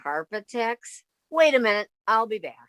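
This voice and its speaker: Woman playing Jane, sensible and animated. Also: on the high side, normal speed, no room sound, clean studio sound. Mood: exasperated. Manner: practical, sceptical. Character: sensible young woman, exasperated roommate Jane. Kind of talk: 1950s radio comedy acting.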